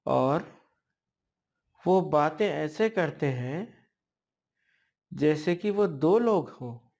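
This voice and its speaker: Male horror narrator, slightly higher-pitched, very slow storytelling with halting phrasing. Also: animated, gravelly, a little mellow. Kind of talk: slow spoken ghost storytelling.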